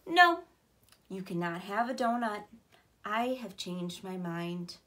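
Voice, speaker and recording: A woman voicing a calm, polite but firm male panda in a children's storybook, speaking in a measured way. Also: mid-range voice, voice pushed down, exaggerated voice.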